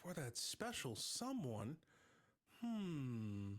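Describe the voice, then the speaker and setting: A man acting a naive, excitable grown man in an elf suit at a table read of a comedy script, childlike, eager and guileless. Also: medium pitch, extremely slow, full character voice. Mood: timid, curious.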